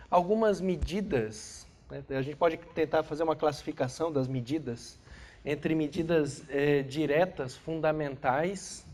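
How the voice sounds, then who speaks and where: A man's voice: a little high, a little mellow. A Brazilian man giving an astrophysics class lecture, congested with a cold, explaining didactically with short tag questions.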